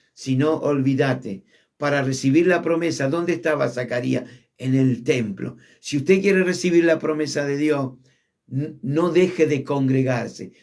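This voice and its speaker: Argentine pastor, higher-pitched man, emphatic sermon delivery, slows and drops for stress. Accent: Argentine Spanish. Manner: emphatic preaching, drops low on key words, shifts between quick and drawn-out phrasing.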